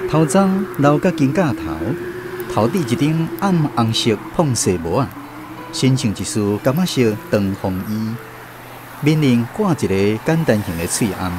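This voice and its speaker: A man's voice: mid-range voice, noisy background. A man with a Taiwanese accent narrating a scripted literary radio drama.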